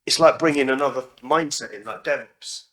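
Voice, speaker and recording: A man in the audience with a loud voice, asking a chatty question full of fillers. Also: medium pitch, brisk. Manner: chatty, uses many fillers like "like" and "you know". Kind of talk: spontaneous question.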